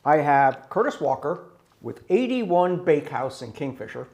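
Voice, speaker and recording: A man from the US Upper East Coast hosting a casual interview show, chatty and quick to laugh. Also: a little high, very animated, a little mellow. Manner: chatty, laughs easily, restarts often. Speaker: talk show host.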